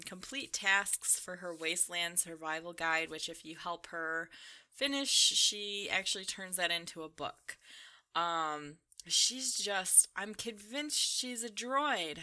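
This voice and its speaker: Young female gaming creator: bright, crisp-edged voice, a little slow-paced, informal and rambling, chatting casually. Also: lowish voice. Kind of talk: casual chatty commentary while playing a game.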